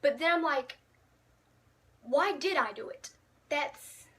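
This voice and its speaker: A woman's voice: high voice, fairly bright tone. A teenage girl telling funny stories to her vlog audience in a chatty, dramatic, self-mocking way. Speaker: vlogger. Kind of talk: casual storytime vlog.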